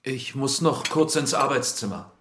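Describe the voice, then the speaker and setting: A man's voice: mid-range voice, animated, normal speed, fairly bright tone, a little hiss or hum, lightly acted. An actor playing a candid, bewildered married man in a radio drama, giving plain answers. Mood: evasive. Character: questioned married man, frank, bewildered by affair. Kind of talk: German radio drama acting.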